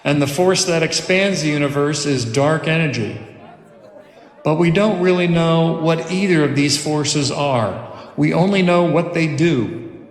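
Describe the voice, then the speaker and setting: A man's voice: a little high, measured pace, echoey room, big-room sound. A man playing a planetarium show narrator, grand and full of wonder, in a film script table read. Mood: earnest, wondering. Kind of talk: documentary-style scripted narration in a film drama.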